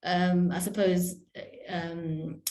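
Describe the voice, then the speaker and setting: A woman's voice: on the low side, flat delivery, extremely slow, darkish tone. A woman speaking as a podcast guest, reflective and hesitant, with many fillers and restarts. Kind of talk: reflective interview talk. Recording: echoey room.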